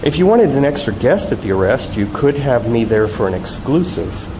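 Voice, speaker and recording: A man voicing a sly, wisecracking woman TV reporter with a brisk, wry manner, reading from a novel. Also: medium pitch, very animated, natural voice. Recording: echoey hall with loud background noise.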